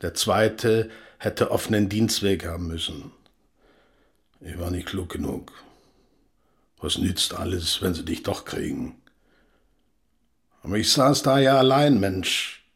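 An actor playing a gruff, guarded older East German father in a radio drama, speaking in terse, halting, plain phrases. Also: deep voice, full character voice. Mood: guarded, blunt.

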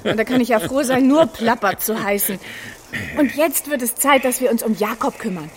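Actress as chatty adventuring helper, children's audio play: high register, wry, straightforward, upbeat. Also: female voice, moderate pace, slight background noise, close to an everyday voice. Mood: brisk, amused. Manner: cheerful, wry, direct. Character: plucky, chatty female fairy-tale helper, brisk and wry. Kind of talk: children's radio play acting.

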